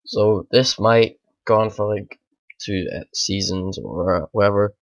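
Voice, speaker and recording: A man, hoarse from a bad cold, rambling through casual let's play commentary at home. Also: on the low side, measured pace, dry recording, lo-fi sound. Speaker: gaming channel host.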